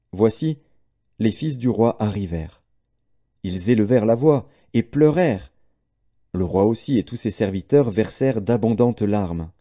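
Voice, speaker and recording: Very dark-voiced man reading scripture. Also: mid-range voice. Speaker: audiobook Bible reader.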